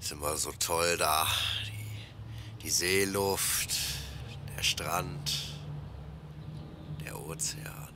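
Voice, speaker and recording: A man playing an easygoing, work-shy guy who mumbles haltingly to himself in an audio play. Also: deep voice, steady tone, very noisy. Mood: wistful, relaxed. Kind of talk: German audio play monologue.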